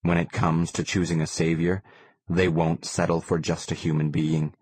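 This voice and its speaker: Low-voiced man narrating, close and dry. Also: level tone, normal speed, darkish tone, slightly rough, no echo. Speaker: audiobook narrator. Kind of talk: scripted first-person novel narration.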